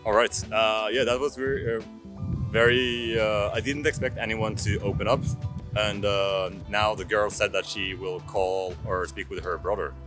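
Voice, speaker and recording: A man in his thirties from France, narrating a travel vlog in a casual, halting way. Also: lowish voice, steady tone, music in the background. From France, moved to Norway at six. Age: 30s. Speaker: vlogger.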